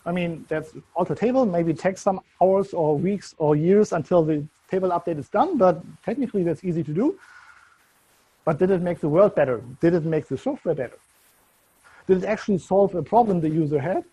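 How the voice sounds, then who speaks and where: A man's voice: high voice, lively intonation, fairly quick, warm tone, breathy edge, no echo, rough recording. A man from Germany, speaking English with a German accent, gives a loose, searching conference presentation full of ums and restarts. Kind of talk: tech meetup presentation.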